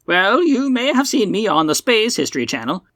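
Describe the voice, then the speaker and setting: A man putting on a pompous, high-pitched old-timey geezer. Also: sing-song, moderate pace, fairly bright tone, no room sound, exaggerated voice. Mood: boastful, grumbling. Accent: put-on old-time accent. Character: elderly hot dog seller, pompous ancient-civilization theorist in space. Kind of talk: 1920s radio announcer voice with old-man accent.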